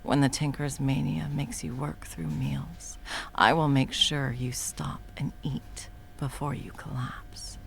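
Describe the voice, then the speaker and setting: A woman voicing a scheming female supervillain who swears and often drops to a soft whisper, in a one-sided audio roleplay. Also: a bass voice, takes it slowly, creaky, full character voice. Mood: whispering, possessive.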